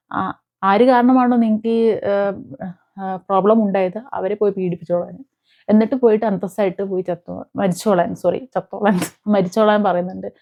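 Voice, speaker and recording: A woman chats to her viewers in a casual commentary style. Her voice sits at an ordinary female pitch, and she speaks haltingly, pausing to find words. The recording is a little dull and lo-fi. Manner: halting, searching for words, with frequent hesitant pauses. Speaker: YouTube commentator. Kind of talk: casual reaction vlog talk to viewers. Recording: dull, lo-fi sound.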